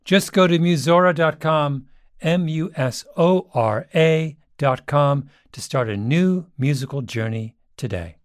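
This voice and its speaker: A man's voice: fairly high pitch, a little slow, no room sound, studio quality. A man presenting a scripted advertisement in a conversational, direct-to-listener way.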